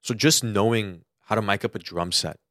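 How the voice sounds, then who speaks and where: A man's voice: lowish voice, normal speed, fairly bright tone, dry recording, clean studio sound. A young American man co-hosting a podcast, giving casual advice in an emphatic way and repeating his points for stress. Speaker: podcast co-host.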